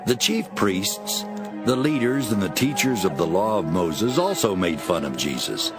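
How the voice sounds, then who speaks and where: A man narrating a dramatized Bible as expressive, scripted storytelling. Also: sits low, a little slow. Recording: music behind.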